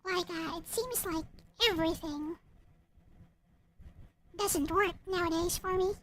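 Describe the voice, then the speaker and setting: Woman, extremely high pitch, slow pace, casual storybook reader, light music behind. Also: fairly even intonation, fairly bright tone, slight background noise. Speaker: visual novel creator and reader. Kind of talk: casual chat and storybook narration.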